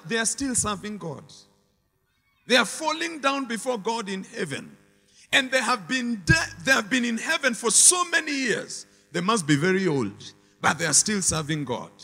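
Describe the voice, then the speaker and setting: A man's voice: high voice, sharp tone, in a large hall. A man preaching a sermon with emphatic, repetitive phrasing and call-and-response to the congregation.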